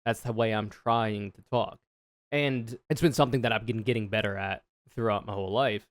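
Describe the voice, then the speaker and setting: A man with a deep, smooth voice, reacting to a video in frank, casual commentary that is full of swearing. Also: a bit bright, dry recording, studio quality. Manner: frank, swears freely, thinks aloud.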